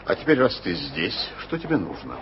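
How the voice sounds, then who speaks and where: An actor playing a powerful statesman prince who speaks in a measured, ironic and commanding way in an old radio play. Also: low voice, big pitch swings. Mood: stern. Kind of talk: 1980s radio play acting. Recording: hissy, dull old radio recording.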